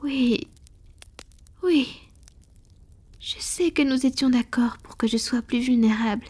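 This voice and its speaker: Woman doing a centuries-old lady vampire in love, soft breathy whispering, kinda high voice, asmr roleplay. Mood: apologetic, vulnerable. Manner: slow, intimate, affectionate murmuring. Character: centuries-old female vampire, tender lover, proud but vulnerable. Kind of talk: French-language ASMR romantic roleplay.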